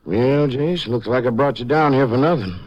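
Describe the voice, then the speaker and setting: An actor playing an earnest, plain-spoken, troubled small-town Texas sheriff in a 1950s radio western. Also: male voice, mid-range voice, moderate pace, a little mellow, dull audio, lightly acted. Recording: noisy old radio recording with clicks.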